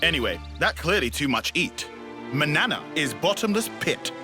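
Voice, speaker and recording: A man's voice: on the high side, sing-song, moderate pace. A man voicing a fussy, sensible small creature tinkerer in a video game, lecturing drily in clipped broken English. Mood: dry. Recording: loud game music underneath.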